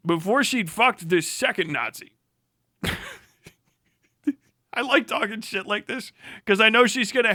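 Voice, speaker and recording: High-pitched man in a combative, profane rant. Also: bright, forceful tone, no room sound, studio quality. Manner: mocking, combative, sarcastic; heavy swearing. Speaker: live streamer reacting to a video. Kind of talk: profane political rant commentary.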